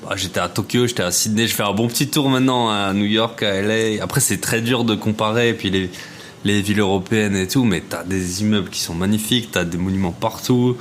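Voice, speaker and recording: A man hosts a podcast interview in an apartment, talking loosely and casually. His voice sits on the low side and he fills gaps with hesitations and restarts. A faint background hiss runs under the recording. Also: fairly even intonation, fairly quick. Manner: loose, many fillers and restarts. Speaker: podcast host. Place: apartment rental abroad.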